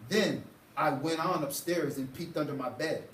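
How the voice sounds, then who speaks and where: A young man reading a children's story aloud to a class with expressive, dramatic phrasing, as a student teacher. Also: fairly high pitch, moderate pace. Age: young adult. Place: indoor school classroom. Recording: roomy, distant mic, faint noise.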